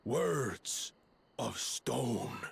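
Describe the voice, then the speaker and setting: Stern proud warrior, extremely slow, raspy and husky, halting weighty phrases, fantasy game. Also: male voice, medium pitch, lively intonation, exaggerated voice. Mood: solemn. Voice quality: very gravelly, husky. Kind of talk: fantasy video game acting.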